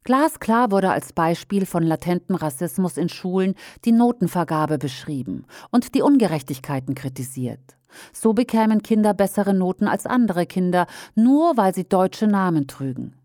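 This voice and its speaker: A woman reading aloud a critical opinion commentary in a pointed, measured way. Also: on the low side, animated, dark tone, no room sound, clean studio sound.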